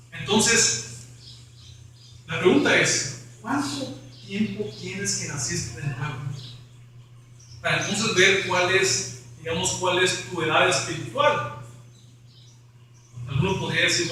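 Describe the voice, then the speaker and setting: A man's voice: sits high, sharp tone, heavy reverb, recorded from a distance, a little hiss or hum, rough recording. A man preaching an evangelical sermon as pastor, rising to emphatic questions.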